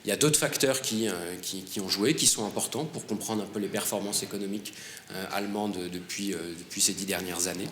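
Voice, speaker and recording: Brief: man, low voice, halting panel talk, lots of fillers and restarts, roomy hall with faint noise. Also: a bit bright. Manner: hesitant, many fillers and restarts. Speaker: award-winning author. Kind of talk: panel presentation. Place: conference hall.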